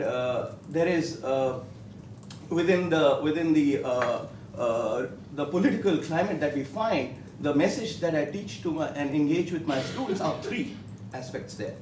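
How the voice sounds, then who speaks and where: Indian-accented male professor, measured panel answers, slightly high voice, distant room mic. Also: darkish tone, slight background noise. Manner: measured, many fillers and restarts. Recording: echoey hall, mic from far off.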